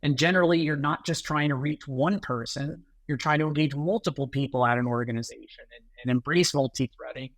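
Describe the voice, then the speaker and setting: A middle-aged American man answering interview questions in a relaxed, conversational way, often ending points with "right?". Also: on the high side, fairly quick, hardly any pauses, no echo. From United States. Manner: conversational, repeats words and tags sentences with "right?". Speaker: guest, sales executive.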